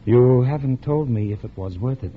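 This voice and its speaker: An actor playing a courteous, earnest, wounded old flame in a 1940s radio drama. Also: male voice, medium pitch, moderate pace, lightly acted. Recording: muffled old radio recording with hiss.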